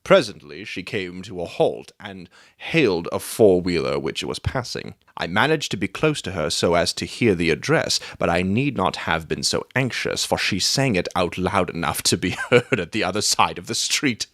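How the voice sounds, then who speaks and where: A man playing a brisk, self-assured Victorian detective in a dramatic audiobook, telling things with confidence. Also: low-pitched, lively intonation, fairly bright tone, no room sound, clean studio sound. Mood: wry storytelling.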